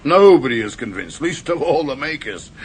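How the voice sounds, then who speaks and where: An actor playing a self-absorbed horror filmmaker in a radio play, chatty and boastful, stammering and laughing nervously. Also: male voice, mid-range voice, big pitch swings, moderate pace, husky. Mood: jovial, boastful. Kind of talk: 1970s radio drama acting.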